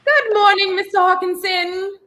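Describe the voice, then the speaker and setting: A woman's voice: extremely high pitch, steady tone, normal speed, sharp tone. A young woman playing a feisty, prim heroine in a 1930s radio play, speaking emphatically. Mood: bright, coy. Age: young adult. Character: feisty, indignant young woman, handcuffed unwilling companion. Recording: roomy, echoing home recording.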